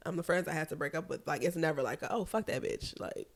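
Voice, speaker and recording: A woman, talking candidly as a podcast co-host. Her voice sits a little low and sounds congested. She swears freely and laughs often. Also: quick pace. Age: 30s. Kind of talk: casual chat.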